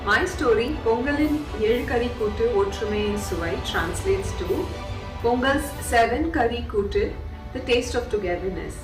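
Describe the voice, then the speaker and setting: A woman's voice: a little high, lively intonation, moderate pace, music in the background. Woman from India, distant and roomy. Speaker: author.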